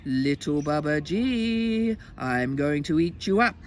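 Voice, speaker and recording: A woman's voice: low-pitched, animated, slow pace, a little mellow. A woman voicing a vain, threatening male tiger in a children's storybook. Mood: menacing. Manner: boastful, threatening. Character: grand, menacing, vain male tiger, easily persuaded.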